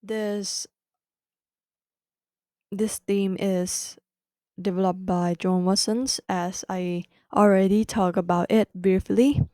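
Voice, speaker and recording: A woman with a Thai accent explains a psychology lesson slowly and carefully, pausing often as she finds her words in her second language. Her tone is soft and mellow. The recording is dry and close. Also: medium pitch, steady tone, dry recording. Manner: careful, halting second-language delivery with restarts. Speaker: podcast host. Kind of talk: educational podcast explanation.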